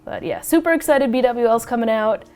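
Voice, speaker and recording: A woman hosting a casual podcast chat, a little raspy as she gets over a cold, chatty and excitable and quick to laugh. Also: a little high. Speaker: podcast host.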